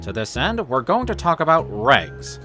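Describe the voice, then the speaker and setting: Enthusiastic male science teacher for a comic dub: bright, emphatic, wildly varied intonation. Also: a little high, big pitch swings, normal speed, soft background music. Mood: instructive, keen. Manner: explanatory, emphatic. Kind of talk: classroom lecture in a comic dub.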